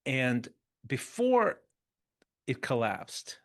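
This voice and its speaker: A man in his fifties speaks as a guest on a podcast with a mid-range voice. He tells his stories in an animated, conversational way and often restarts phrases as he thinks. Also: medium pitch, drawn-out words. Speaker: interview guest. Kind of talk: conversational storytelling.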